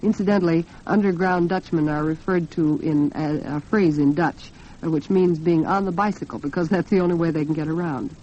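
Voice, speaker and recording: A woman's voice: deep voice, fairly quick, dark tone. An American woman hosting a 1940s radio talk program, speaking in an earnest, articulate and polished way. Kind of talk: 1940s radio women's talk program, commentary and sponsor read. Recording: dull lo-fi 1940s radio recording.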